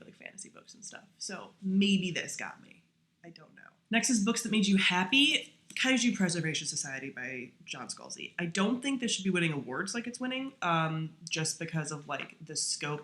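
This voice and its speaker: A woman with a voice a little on the low side talks casually to camera as a book reviewer. Her delivery is informal and slangy, like chatting with friends. She drops in self-deprecating asides as she goes. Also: fairly bright tone.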